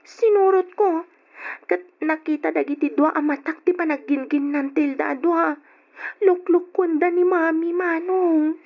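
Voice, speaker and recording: Very high-pitched Ilocano daughter. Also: female voice, fairly even intonation, a little slow. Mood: anxious. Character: distressed, anxious younger sister and daughter. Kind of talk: old Ilocano radio drama acting. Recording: dull lo-fi old radio recording.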